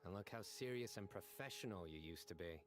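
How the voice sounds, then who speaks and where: Deep, deadpan young man. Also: brisk. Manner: deadpan, dry quips. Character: stoic young man, deadpan, earnest former student. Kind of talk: video game voice acting. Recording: game audio with music underneath. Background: game music.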